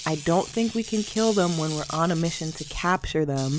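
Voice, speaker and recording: A woman voicing a blunt, unbothered young female fighter in an anime fan dub, mild and deadpan. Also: lowish voice, moderate pace, loud music behind the voice, close to an everyday voice.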